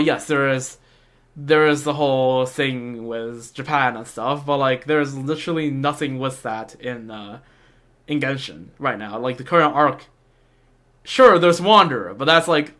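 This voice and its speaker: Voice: mid-range voice, normal speed, a bit bright. A streamer chatting informally about a video game in a fan-like, slangy way. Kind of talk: casual livestream chat mixed with reading game dialogue aloud.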